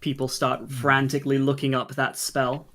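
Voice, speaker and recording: A man's voice: mid-range voice, completely flat delivery, normal speed, a bit bright, slight background noise. A man acting as game master, moving between narrating a tabletop game and casual, informal chat. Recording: faint music behind the voice. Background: faint background music.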